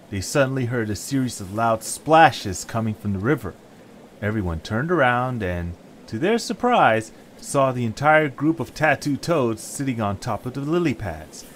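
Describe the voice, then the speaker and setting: Male narrator, scripted storytelling, animated pitch, mid-range voice, faint background noise. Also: normal speed.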